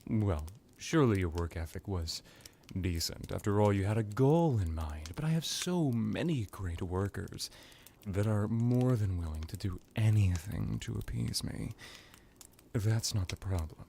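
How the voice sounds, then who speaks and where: Man playing a low, gravelly suave vampire lord. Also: animated, warm tone, slight background noise. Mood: smug, fond. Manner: languid, hesitant musing, drawn-out words, drops into whispers. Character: male vampire lord, suave, menacing, condescendingly affectionate. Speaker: character roleplay performer. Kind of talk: ASMR villain roleplay monologue.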